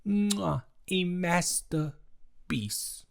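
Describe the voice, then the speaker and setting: A young American man improvising a casual roleplay chat, talking emphatically and haltingly, repeating words for stress. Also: sits high, lively intonation, creaky. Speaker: audio roleplay performer.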